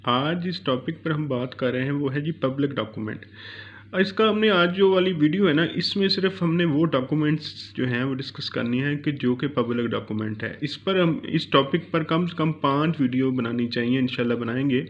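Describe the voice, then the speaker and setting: A man's voice: mid-range voice, normal speed. A man with a Pakistani accent teaching law in an informal lecture, explaining step by step and switching between two languages. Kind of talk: informal Urdu-English legal lecture. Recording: dull, lo-fi recording.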